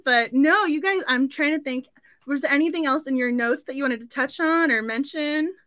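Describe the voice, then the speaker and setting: High bright girly-pitched woman hosting a book chat online, gushy and chatty, dry close sound. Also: moderate pace, no room sound. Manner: gushing, animated, giggly. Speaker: book club host. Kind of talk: casual book discussion on a livestream. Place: indoor room at home.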